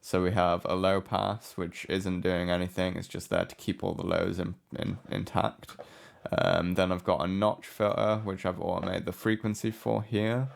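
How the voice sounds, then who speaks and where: A man giving a casual, unscripted software walkthrough as a tutorial presenter, low-key and hesitant in manner. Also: little pitch movement, moderate pace, vocal fry. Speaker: music production tutorial presenter.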